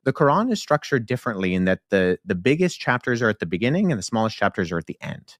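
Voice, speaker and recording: A man as a podcast guest, explaining things in a measured way. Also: on the low side, sing-song, dry recording, studio quality. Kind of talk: podcast interview.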